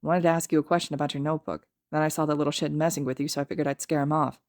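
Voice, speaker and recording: A woman's voice: low-pitched, level tone, racing, darkish tone, dry recording, studio quality. Woman reading as a drawling, sardonic male villain. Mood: gruff, casual. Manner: drawling, sneering, blunt.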